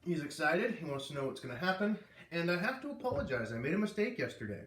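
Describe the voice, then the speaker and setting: Animated man reading aloud, roomy and distant. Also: a little high, fast talker. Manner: animated, puts on character voices. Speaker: read-aloud host. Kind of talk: expressive read-aloud of a children's novel, with casual asides.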